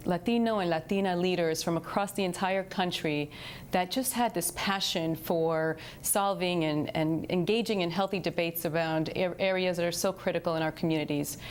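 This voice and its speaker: A woman from New York speaks as a panel guest in a measured way, her voice slightly low. A faint rumble sits behind her. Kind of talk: talk show interview.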